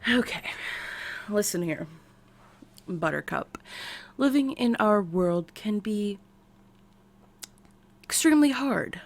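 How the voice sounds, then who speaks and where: A woman with an American accent voicing an eccentric, excitable woman scientist playing therapist in an ASMR roleplay, with quirky, halting delivery. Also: mid-range voice. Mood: earnest, soothing. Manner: quirky, halting, drawn-out delivery with sudden swoops. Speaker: roleplay voice performer. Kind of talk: ASMR character roleplay, speaking to the listener.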